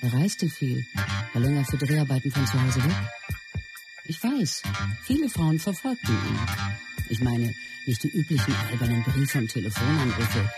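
An actress playing a film star's loyal wife, looking back in a candid, confiding way in a radio play. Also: female voice, rumbling low, animated, normal speed, low-quality recording. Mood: reflective, candid. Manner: reflective, confiding. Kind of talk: scripted reminiscence in a radio play. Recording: loud music under the voice.

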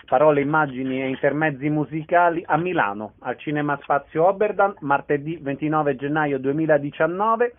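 A man's voice: fairly high pitch, phone-quality sound. A man hosting a radio interview in a courteous style.

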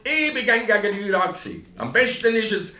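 Ein Schauspieler mit Schweizer Akzent spielt in einem Hörspiel einen groben, spöttischen, ungeduldigen Bauern. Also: male voice, sits high, big pitch swings, a little fast, sharp tone, roomy sound, heavily acted. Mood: impatient. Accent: Swiss. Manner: sneering, blunt. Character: coarse, mocking, impatient male village farmer. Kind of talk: radio play acting.

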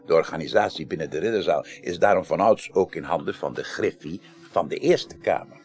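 Low, creaky, genial parliament chairman. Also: fairly quick, darkish tone. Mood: wry, explanatory. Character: male parliament chairman, genial, wry, dignified official. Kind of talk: Dutch radio crime drama acting, early 1980s.

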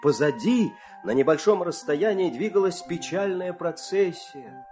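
A man narrating a fairy tale as a dramatic storyteller, expressive and suspenseful, with deliberate pauses. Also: high voice, faint music behind.

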